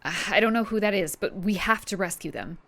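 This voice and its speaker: A woman's voice: mid-range voice, a little fast, fairly bright tone, lightly acted. Earnest young female fan, played by an actress, mid-range voice, natural audio drama acting. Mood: determined.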